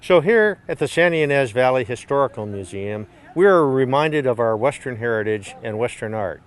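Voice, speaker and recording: Middle-pitched older man, promotional read. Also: lively intonation, moderate pace. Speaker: gallery owner presenting an event. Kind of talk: scripted promotional narration.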